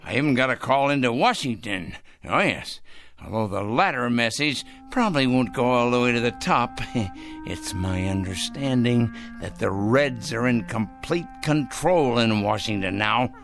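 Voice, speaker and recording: Obsessive moral crusader, slow deliberate pace, sharp forceful tone, excitable, emphatic repetition, radio play, music underneath. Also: male voice, mid-range voice, vocal fry. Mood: conspiratorial, indignant. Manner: fussy, excitable, repeating himself emphatically. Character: fanatical, self-righteous male crank obsessed with punishing evil. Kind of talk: radio drama acting.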